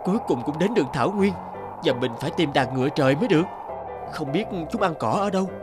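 Man voicing an earnest, animated young fiddler. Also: fairly high pitch, quick pace, close to an everyday voice. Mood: anxious. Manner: earnest, expressive. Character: devoted, kindhearted young male fiddler. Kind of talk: cartoon fairy-tale dubbing. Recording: music under the voice.

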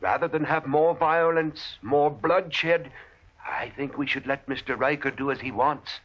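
An earnest, cautious townsman of a radio Western. His slightly high, husky voice pleads against trouble. The recording is muffled and old. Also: steady tone, measured pace. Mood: anxious, pleading. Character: anxious, conciliatory townsman pleading for peace. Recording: dull vintage radio recording.

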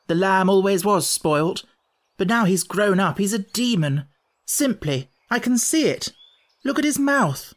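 A woman's voice: lowish voice, voice pushed up. Woman reader as a blunt, slangy schoolboy. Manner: blunt, slangy, old-fashioned. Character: blunt, practical schoolboy brothers in a storybook. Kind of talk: children's storybook character reading.

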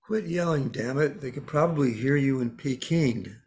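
An actor playing a world-weary spirit, blunt and cryptic, pronouncing grim truths matter-of-factly in a dramatised story. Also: male voice, medium pitch, moderate pace, darkish tone, husky, natural voice. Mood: brusque.

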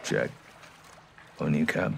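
A man playing a young, wary special forces soldier, terse and low-key, in a military game. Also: slow pace, fairly bright tone. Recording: noisy outdoor ambience.

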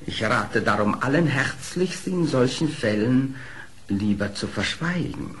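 Sly courtly fox storyteller, theatrical radio play, slow and highly animated delivery. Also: male voice. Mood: self-assured. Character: sly, smooth-talking male fox telling his adventures. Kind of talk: German radio play acting. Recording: old audio play with faint noise.

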